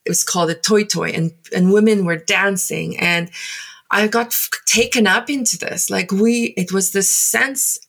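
A South African woman in her forties whose first language is Afrikaans, speaking in English and telling her own story in a thoughtful, halting way with many pauses and fillers. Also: medium pitch, creaky, fairly bright tone. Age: 40s. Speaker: guest. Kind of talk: reflective personal storytelling.

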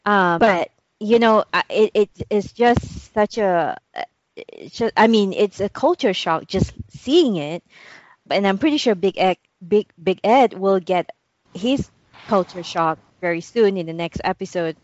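A woman's voice: medium pitch, animated, unhurried, a little mellow, no room sound. A woman from Singapore, co-hosting a casual chat, hesitant and full of restarts. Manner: hesitant, many restarts and fillers. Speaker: podcast co-host. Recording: dull lo-fi dry sound.

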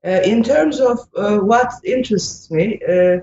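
A woman's voice: medium pitch, darkish tone. Distant, echoey woman with a Turkish accent. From Istanbul, Turkey. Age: middle-aged. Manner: halting, with very frequent hesitations. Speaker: interview guest, sociology professor and author. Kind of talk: reflective interview talk. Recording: echoey, muffled, distant, noisy remote line.

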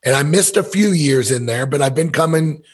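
A man's voice: a little high, lively intonation, normal speed, husky. An American man in his fifties from Missouri, telling stories in a candid, folksy, rambling way as a podcast guest. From Missouri, USA. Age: 50s. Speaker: podcast guest, elk hunter and business owner. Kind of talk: casual conversational storytelling.

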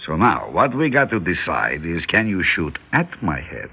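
Husky, low-voiced stubborn old shopkeeper. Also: male voice, big pitch swings, full character voice. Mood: wry, defiant. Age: older. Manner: wry, deliberate, resigned. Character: weary, stubborn old male delicatessen owner held hostage. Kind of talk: 1960s radio drama acting. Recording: dull old radio broadcast.

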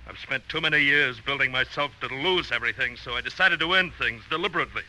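A man's voice: medium pitch, quick pace, bright, forceful tone, poor audio. An actor playing a doomed, bitterly resigned man in a 1940s radio drama, speaking with grave, accusing deliberation on a vintage broadcast. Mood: agitated, bitter. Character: doomed, bitterly resigned man facing his blackmailing friend.

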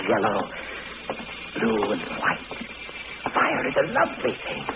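An actor in an old radio drama playing a mad, gloating arsonist who poses as a kindly club man, with theatrical delivery. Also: lowish voice. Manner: theatrical, rapturous soliloquies. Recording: noisy, tinny old radio broadcast recording.

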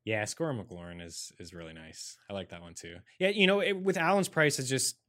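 An easygoing man with a fairly low voice, chatting as a podcast guest. He sounds relaxed and agreeable. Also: fairly quick. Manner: easygoing, agreeable, laid-back. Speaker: guest sportswriter. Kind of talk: casual sports chat.

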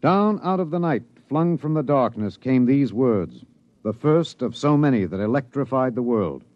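A man's voice: mid-range voice, lively intonation, moderate pace, no echo, lightly acted. An actor playing a driven, candid radio newsman and narrator in a 1950s radio drama. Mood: grave, dramatic. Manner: off-the-cuff asides, then grave dramatic delivery. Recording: dry, dull old radio recording.

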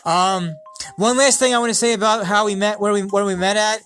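An American man hosts a casual video call, speaking slowly and deliberately and often repeating himself. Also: high voice, fairly even intonation, a bit bright, faint music behind. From United States. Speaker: host.

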